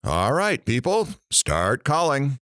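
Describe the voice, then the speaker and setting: An actor playing a sardonic morning radio host in an audio drama, with dry, theatrical sarcasm. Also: male voice, mid-range voice, wildly swooping pitch, takes it slowly, a bit bright, no room sound, full character voice. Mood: wry, brisk. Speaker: radio talk show host.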